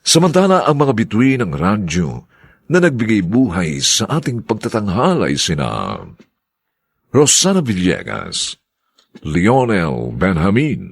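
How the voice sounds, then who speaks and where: A man's voice: on the low side, creaky, slightly rough, dry recording. A man announcing a radio drama in formal, scripted narration with a grand theatrical delivery.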